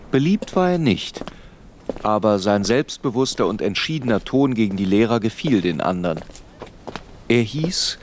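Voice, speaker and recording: An actor playing a grown man who recalls his tormented boyhood in grave, measured literary narration for a radio play. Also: lowish voice, slight background noise. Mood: reflective.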